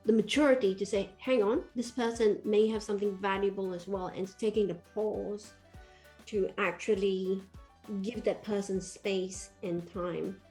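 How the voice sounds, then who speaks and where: Mellow-voiced woman host on a video call. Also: medium pitch, fairly even intonation, measured pace, soft background music, rough recording. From Malaysia. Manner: thoughtful, reassuring, reflective. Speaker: podcast host. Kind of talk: casual video-call interview. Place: home room.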